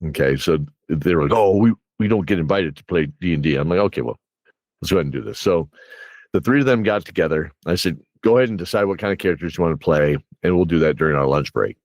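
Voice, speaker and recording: A man's voice: deep voice, expressive, fast, darkish tone, dry recording. A man chatting casually as a game master, rambling through campaign stories and acting out what his players said. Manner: rambling, acts out players' reactions in quotes. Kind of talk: casual animated storytelling chat.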